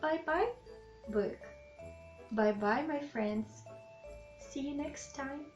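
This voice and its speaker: A woman reading a children's bedtime story aloud in an expressive, deliberate way, with asides to young listeners. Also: high voice. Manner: slow, deliberate, animated phrasing with questions to the child listeners. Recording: slightly dull, lo-fi sound with music.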